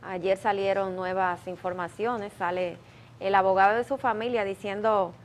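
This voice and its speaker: A woman co-hosting a radio talk show, who briskly reads a news item and calls the break. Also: mid-range voice, fairly even intonation, a little hiss or hum. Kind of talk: brief news segue.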